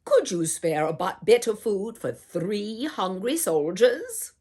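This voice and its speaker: A woman voicing a hungry, weary but polite male soldier in a storybook, declaiming loudly and drawing out the words. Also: medium pitch, sing-song, takes it slowly, slightly rough, heavily acted. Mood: polite, pleading.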